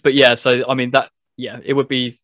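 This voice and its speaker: Casting: male gaming review host, average pitch, dry recording, informal and meandering delivery, somewhat hesitant. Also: level tone, a little fast, a bit bright, dry recording. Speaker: video host reviewing a football game. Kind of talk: casual chat.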